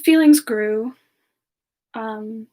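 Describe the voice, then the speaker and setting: A woman playing a bashful, soft-spoken siren ice spirit, telling her story slowly and hesitantly in a semi-ASMR roleplay. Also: fairly high pitch. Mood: shy, tender. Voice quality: soft, breathy, slightly creaky. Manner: slow, hesitant, reminiscing with pauses.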